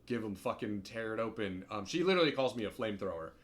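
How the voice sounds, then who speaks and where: A man's voice: lowish voice, animated, quick pace. Rambling, profane man chatting casually. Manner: rambling, profane, heavy on fillers like "like" and "right". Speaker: podcast host. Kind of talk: casual hobby stream chat.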